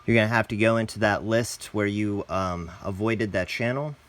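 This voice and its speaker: A man's voice: lowish voice, steady tone, moderate pace, slight background noise. A man hosting a step-by-step product tutorial, talking in a casual, plain instructional way. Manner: casual, plain instructional, addresses viewers as guys. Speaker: host, tutorial presenter.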